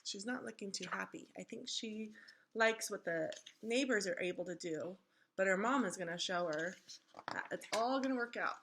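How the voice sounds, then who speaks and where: Bright-voiced woman reading a storybook aloud. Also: medium pitch, normal speed, slight background noise. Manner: expressive, instructive. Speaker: read-aloud teacher. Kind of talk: children's storybook read-aloud with questions to listeners.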